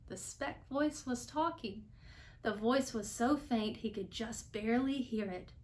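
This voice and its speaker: A woman storyteller narrating a children's picture book aloud in an expressive style. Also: a little high, measured pace.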